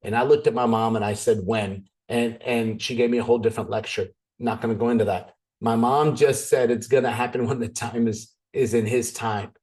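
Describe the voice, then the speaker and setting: A man's voice: medium pitch, steady tone, brisk, few pauses, a little mellow. A man from Canada, probably in his thirties, giving a chatty, joking business presentation over a video call. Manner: chatty and joking, goes off on tangents.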